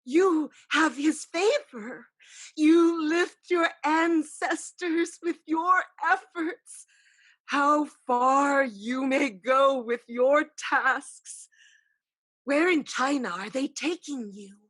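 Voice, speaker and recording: A woman plays an otherworldly female ancestor spirit with a high voice and extremely slow delivery. She intones like a summoning chant, with a sorceress's scheming underneath, in theatrical stage style. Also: a bit bright, heavily acted. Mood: solemn, coaxing. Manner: slow, incantatory, drawn-out calling.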